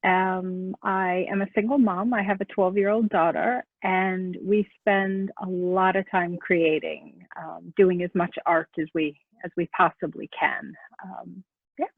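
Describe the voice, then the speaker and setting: A woman in her thirties, originally from Florida, speaking softly and hesitantly with many pauses as an interview guest on a video call. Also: on the low side, fairly even intonation, gravelly, no echo. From Florida, USA. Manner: soft-spoken, hesitant, with many ums and pauses. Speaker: artist and guest. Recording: narrow phone-like call audio.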